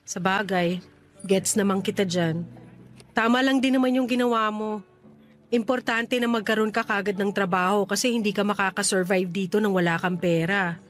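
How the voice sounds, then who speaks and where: Woman acting thirties landlady, teasing, guarded, practical, conversational radio drama, soft music underneath. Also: medium pitch, fairly even intonation, normal speed, lightly acted. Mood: practical, playful.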